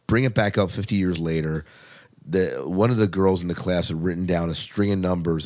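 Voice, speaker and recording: A man's voice: low-pitched, a little mellow. A man hosting a podcast, chatting casually and enthusiastically as a fan. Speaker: podcast host. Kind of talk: casual fan chat about TV and film.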